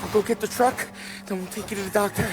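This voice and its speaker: A man's voice: sits high, steady tone, fast talker, rough recording. A man acting a brash, hot-headed, wisecracking gangster with slangy tough-guy talk in a crime game. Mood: urgent, worried.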